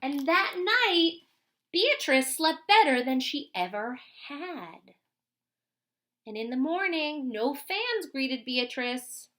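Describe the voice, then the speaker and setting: A woman reads a children's picture book aloud in a high, bright voice. Her delivery is very lively and expressive, with dramatic emphasis and pauses for young listeners. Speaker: storybook reader.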